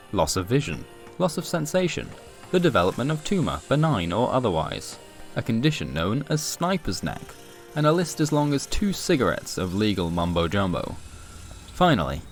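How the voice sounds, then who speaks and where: Low-pitched man narrating a wry story. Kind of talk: scripted comic sci-fi narration.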